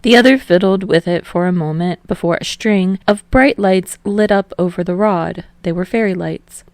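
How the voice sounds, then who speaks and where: A woman's voice: on the low side, normal speed, darkish tone, dry recording. A woman reading scripted fiction as an audiobook narrator. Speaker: podfic narrator.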